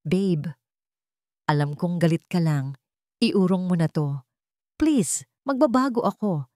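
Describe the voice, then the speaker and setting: A woman's voice: fairly low pitch, lively intonation, takes it slowly, dark tone, no room sound, studio quality. A woman narrator voicing a weak, desperate husband who begs tearfully in an audiobook drama. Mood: desperate pleading. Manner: begging, tearful. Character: desperate, pleading husband, weak mama's boy.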